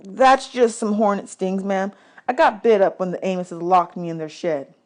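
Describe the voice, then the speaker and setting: A woman's voice: medium pitch, moderate pace, a little mellow, natural voice. Earnest young orphan boy read by a woman, polite, respectful and talkative, for a storybook. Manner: respectful, matter-of-fact.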